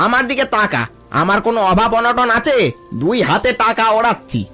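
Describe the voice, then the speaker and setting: A man acts out a rich, arrogant village man for a cartoon fairy tale. His very high-pitched voice scolds and boasts with open contempt, over light background music. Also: expressive, fairly quick, bright, forceful tone, heavily acted. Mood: scornful, boastful. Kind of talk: cartoon voice acting.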